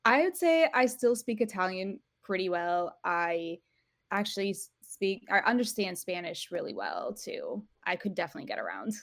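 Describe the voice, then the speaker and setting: A woman's voice: medium pitch, sing-song, normal speed, fairly bright tone, no echo, clean studio sound. A woman in her thirties from Portugal, a podcast guest chatting casually and often restarting her sentences. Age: 30s. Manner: chatty, self-correcting, laughs easily. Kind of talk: casual interview chat.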